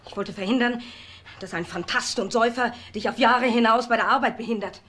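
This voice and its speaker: Scornful daughter-in-law, defiant, emphatic, highish voice, radio crime drama. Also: female voice, brisk, fairly bright tone.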